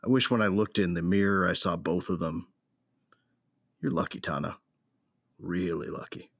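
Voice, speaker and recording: A man as a deep, husky grieving brother. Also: fairly even intonation, moderate pace, fairly bright tone, dry recording. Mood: wistful. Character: wistful grieving brother, subdued and insecure. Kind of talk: audio drama acting.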